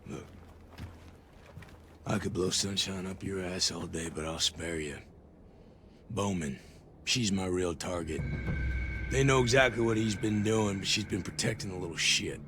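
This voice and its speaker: An actor playing a menacing, sardonic covert operative in a game, an American who talks with blunt swagger. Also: male voice, low voice, unhurried, long pauses, background noise. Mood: cold, blunt. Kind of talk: game cutscene dialogue.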